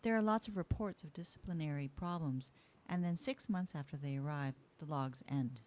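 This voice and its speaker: A woman's voice: deep voice, normal speed, a little mellow, close to an everyday voice. A woman playing a composed, factual starship officer in amateur radio theatre, matter-of-fact in delivery. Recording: noisy track with ambience behind.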